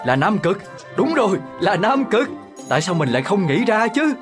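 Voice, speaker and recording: A man voicing a knowledgeable, excitable dog father in a children's cartoon, explaining things in a fatherly way. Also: high-pitched, normal speed, music in the background. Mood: excited realization.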